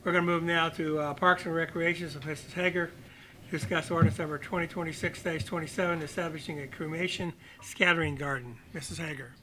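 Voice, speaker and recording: A man's voice: a little high, fairly even intonation, fast, background noise. A man chairing a city council meeting, formally announcing agenda items. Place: council chamber.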